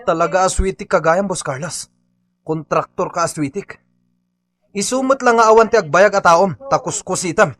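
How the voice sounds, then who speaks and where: A man's voice: high-pitched, normal speed, a bit bright, faint music behind. A man playing a stubborn, aggrieved Filipino labourer in a radio comedy drama, insistent and accusing. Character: aggrieved, stubborn male labourer demanding his unpaid wages.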